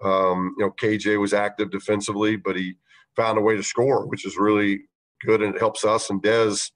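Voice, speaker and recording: A man coaching a basketball team, answering reporters' questions after a game, measured and halting with many fillers. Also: fairly low pitch, level tone, rough recording. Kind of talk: post-game press conference answers.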